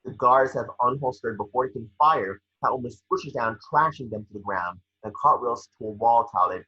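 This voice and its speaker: A man reading scene descriptions at an amateur table read, with a husky voice. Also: medium pitch, moderate pace, bright, forceful tone, dull audio.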